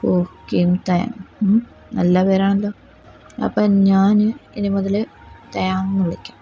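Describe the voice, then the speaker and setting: A woman voicing a cold, threatening young male mafia boss who talks slowly and possessively. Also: level tone, creaky, dark tone, husky. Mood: teasing. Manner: slow, low, possessive and threatening. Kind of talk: Malayalam fan audio drama. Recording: slightly dull lo-fi recording with faint music.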